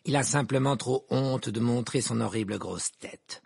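A man's voice: mid-range voice, normal speed, creaky, a little mellow, slightly rough, no room sound. A man voicing a malicious, sneering schoolboy in an audiobook, with sugary, mocking taunts. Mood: smug, mocking. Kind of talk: audiobook character voice.

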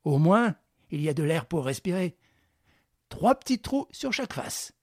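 A man voicing a cunning gentleman thief who gives orders briskly and with dry irony. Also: a little high, big pitch swings, no echo, pitched above the performer's own voice. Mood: commanding. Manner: brisk, commanding, ironic.

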